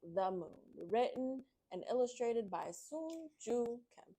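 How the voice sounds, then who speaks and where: A woman's voice: fairly high pitch, very animated, slow pace, dark tone, husky. A woman hosting a children's read-aloud, addressing listeners as friends and reading picture books expressively.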